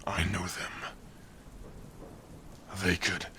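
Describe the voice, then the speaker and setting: A man playing a protective orc warrior, gruff but tender, in a hushed, halting and intimate ASMR roleplay. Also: level tone, full character voice. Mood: reluctant, worried. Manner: slow, hushed, halting, earnest. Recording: faint rain and rumble noise. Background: rain.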